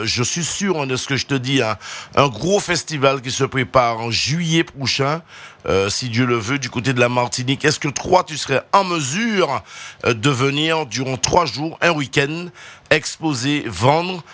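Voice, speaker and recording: A man hosting an informal interview show, talking in a wordy, halting way and often repeating his phrases. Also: medium pitch, pushed and bright, no echo. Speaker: radio show host.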